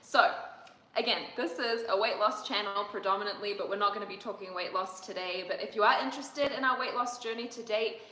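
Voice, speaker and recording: A woman's voice: mid-range voice, fairly quick, bright, forceful tone, a little hiss or hum. Chatty young woman in an echoey room. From New Zealand. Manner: chatty, rambling, laughs often. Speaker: co-host of a livestream. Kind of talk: casual livestream chat.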